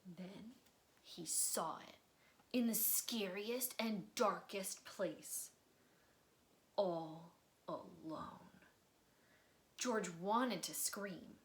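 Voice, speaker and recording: A woman reading a picture book aloud for children, expressively, with dramatic pauses and drops into breathy near-whispers for suspense. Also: mid-range voice. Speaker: volunteer storybook reader. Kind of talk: children's read-aloud storytime narration.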